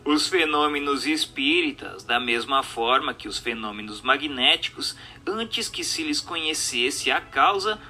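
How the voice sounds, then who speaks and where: A man's voice: medium pitch, sharp tone. A Brazilian man reading a book aloud as a formal, emphatic, declamatory scripted narration. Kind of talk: scripted dramatized audiobook narration. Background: music under the voice.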